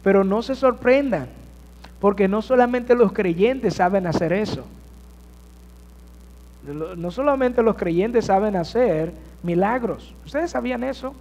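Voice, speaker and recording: Man, pastor, sermon, unhurried delivery, rhetorical questions to the congregation, reverberant church hall. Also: high voice, darkish tone. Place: church sanctuary.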